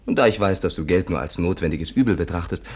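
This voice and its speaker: An actor playing a persuasive, scheming companion in a 1950s German radio play, talking in a confident, coaxing manner. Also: male voice, deep voice, quick pace, a little mellow.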